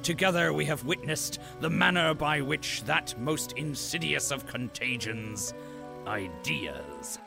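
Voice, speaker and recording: A grave company propagandist narrating a radio drama. The voice is a little high and heavily creaky, delivered slowly and portentously over a music bed. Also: male voice, full character voice. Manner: pompous, portentous.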